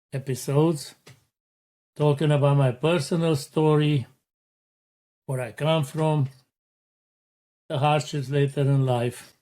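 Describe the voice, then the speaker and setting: A middle-aged man hosts his own motivational podcast, talking slowly and deliberately. His voice sits a little high for a man, and he lays out each point with earnest, unhurried care. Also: warm tone. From Romania. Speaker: podcast host.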